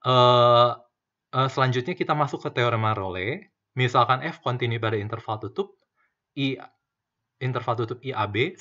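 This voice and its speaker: Male lecturer, middle pitch, walks through proofs patiently with "eh" fillers; slightly muffled lo-fi recording. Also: sharp tone. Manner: explains step by step with frequent "eh" fillers and "oke ya" check-ins. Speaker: university math lecturer. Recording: slightly dull lo-fi mic.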